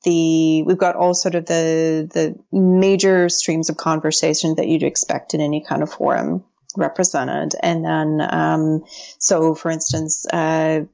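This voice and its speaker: An American woman from Pennsylvania, a podcast guest talking in a relaxed, chatty way with plenty of fillers and laughs. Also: low-pitched, a little slow, gravelly, muffled recording. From United States, Pennsylvania. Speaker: podcast guest, founder of a strategists' community. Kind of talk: casual interview chat.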